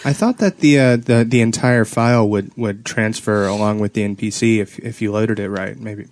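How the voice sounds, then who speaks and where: A man's voice: lowish voice, normal speed, a little mellow. A man co-hosting a podcast in a casual group chat about gaming. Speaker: podcast co-host.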